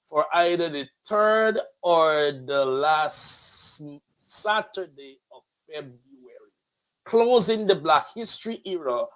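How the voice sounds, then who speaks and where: A man's voice: a little high, fairly bright tone, low-quality recording. A man with a Guyanese accent hosting a motivational show like a preacher, with emphatic, flowery, repetitive rhetoric. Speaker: host and pastor of a motivational online show.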